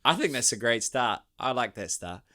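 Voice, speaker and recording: A man's voice: mid-range voice, sing-song, normal speed, noisy background. A man hosting a podcast, chatting casually, rambling loosely and laughing often. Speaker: podcast host.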